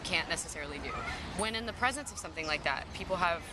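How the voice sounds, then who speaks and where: An American woman in her thirties with a low, husky voice, talking casually and candidly in an interview. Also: lively intonation, quick pace. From Mississippi, United States. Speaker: singer-songwriter being interviewed. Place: outdoor playground in a city park. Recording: outdoor ambient city noise. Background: park and urban outdoor ambience.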